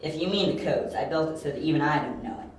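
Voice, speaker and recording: Young detective, male actor: analytical, cocky, dryly clipped, fairly high voice, amateur audio drama, distant from mic. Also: fast, lightly acted. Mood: coolly defiant. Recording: very echoey, distant, with faint hiss.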